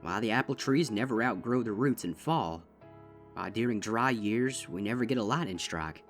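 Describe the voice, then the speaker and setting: A man's voice: fairly low pitch, expressive, normal speed, soft background music, voice pushed up. A man voicing a stern, protective older-sister farm pony with a drawl. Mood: solemn. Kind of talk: fanfic audiobook reading.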